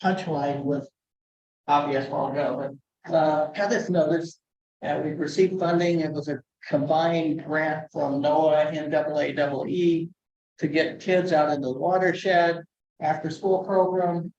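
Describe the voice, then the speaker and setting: A soft-spoken man, a conservation educator, giving a chatty presentation with asides and fillers. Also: a little high, steady tone, measured pace, echoey room, muffled recording, slight background noise. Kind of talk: conference presentation.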